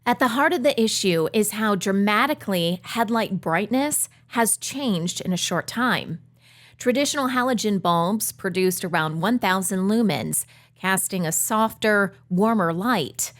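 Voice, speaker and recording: Woman reading a news script, clear and explaining, mid pitch. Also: lively intonation, unhurried. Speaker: news narrator.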